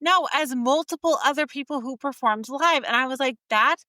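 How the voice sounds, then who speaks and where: A woman in her thirties co-hosting a podcast, talking in an animated, indignant way and laughing often. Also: high voice, normal speed, no echo, clean studio sound. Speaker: podcast co-host. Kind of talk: casual chat.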